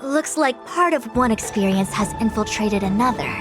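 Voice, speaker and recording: A woman's voice: on the high side, animated, moderate pace, music in the background, lightly acted. An actress playing a composed, self-assured female sage in an anime-style game, speaking formally and precisely with authority. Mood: measured, explanatory.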